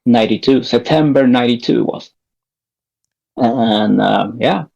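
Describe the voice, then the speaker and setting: A man's voice: medium pitch, a little slow, vocal fry, darkish tone, muffled recording. A man in his thirties from Peru, chatting loosely as a podcast guest, rambling and swearing with easy laughs. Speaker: guest band member. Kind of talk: casual podcast chat.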